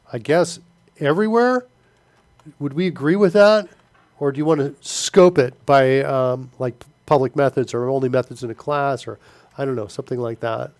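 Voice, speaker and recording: A man's voice: medium pitch, darkish tone, no room sound. Guy with a stuffy nose doing a live coding stream, lots of ums, dry close sound. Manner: loose and filler-heavy, answers chat as he works. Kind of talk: casual live-stream chat while coding.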